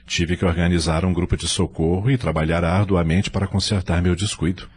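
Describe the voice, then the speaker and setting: A man playing an earnest, patient spirit records clerk in an audio novel, explaining in a measured, didactic way. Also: low-pitched, steady tone, darkish tone, close to an everyday voice. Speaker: guide at a spirit-world records centre.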